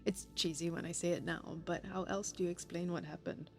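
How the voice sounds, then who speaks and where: A woman playing a grieving, heartbroken lover in a poetic audio-drama monologue, measured and reflective, with breathy pauses. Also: on the low side, steady tone, darkish tone, faint music behind, natural voice. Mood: tender, wistful.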